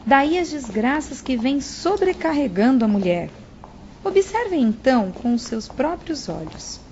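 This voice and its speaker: A woman's voice: high-pitched, sing-song, unhurried, darkish tone. A woman with a Brazilian accent playing a gracious, serene young spirit director in an audio drama. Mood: earnest. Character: gracious, serene female spirit director, looking about thirty. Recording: muffled, clearly noisy audio.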